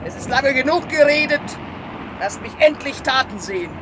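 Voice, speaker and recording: A woman's voice: high voice, animated, moderate pace, very noisy. An actress playing a fierce, scornful woman sea captain in a satirical radio play, grand and commanding in manner. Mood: grim, imperious.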